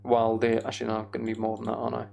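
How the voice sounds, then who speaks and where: A man's voice: lowish voice, monotone, racing, creaky, a little mellow. A British man chatting casually on a livestream while he plays a game, rambling and thinking aloud. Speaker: streamer.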